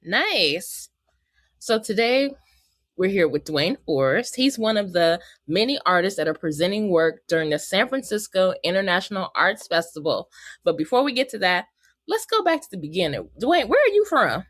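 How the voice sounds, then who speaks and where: An American woman hosts a podcast with a casual, chatty delivery. Her voice sits at a middle pitch, and the sound is dry, with very little room around it. She talks directly to listeners in relaxed, informal phrasing. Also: normal speed, no echo. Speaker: podcast host. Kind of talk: casual podcast hosting and interviewing.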